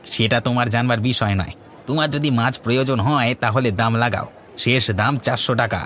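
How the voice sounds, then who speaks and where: A man voicing a sly, grumpy village fisherman in a cartoon, gruff and cagey. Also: mid-range voice, brisk, a bit bright, slight background noise, close to an everyday voice. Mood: curt, stubborn. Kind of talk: cartoon voice acting.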